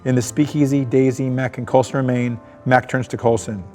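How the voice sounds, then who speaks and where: A man's voice: medium pitch, flat delivery, brisk, warm tone. A man reading out screenplay stage directions as scripted narration at a table read. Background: music.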